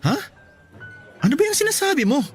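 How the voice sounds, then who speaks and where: A man's voice: very high voice, sing-song, fairly quick, soft background music. A man playing an evasive young boyfriend in a Tagalog radio drama, talking defensively and making excuses.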